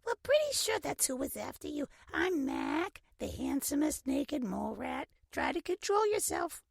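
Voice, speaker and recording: A woman's voice: sits high, very animated, normal speed, vocal fry, no echo, clean studio sound, full character voice. A woman voicing a vain, cheeky male naked mole rat who boasts playfully in an audio drama. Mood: smug, cocky. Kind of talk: audio drama character acting.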